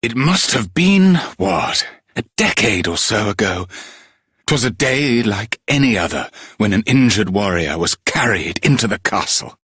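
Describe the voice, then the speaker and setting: A grave elder of a fantasy castle in a video game. His husky voice sits fairly low. He speaks slowly and wistfully, in an old-fashioned storytelling manner. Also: very animated, a bit bright, dry recording, clean studio sound, exaggerated voice. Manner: measured, archaic storytelling. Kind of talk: video game character acting.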